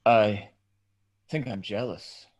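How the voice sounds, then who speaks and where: A man's voice: fairly low pitch, fairly even intonation, slow pace, darkish tone, dull audio. Man reading as a guarded romantic bachelor, understated. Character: guarded, romantic wealthy bachelor hiding a secret. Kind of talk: script table read.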